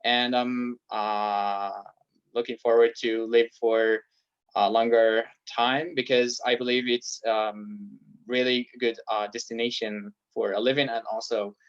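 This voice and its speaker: A man's voice: mid-range voice, flat delivery, a little slow, clear, resonant tone. Hesitant young man, dull audio. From Algeria. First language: Arabic and French. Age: 20s. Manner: hesitant, many fillers. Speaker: guest. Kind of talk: casual interview answers.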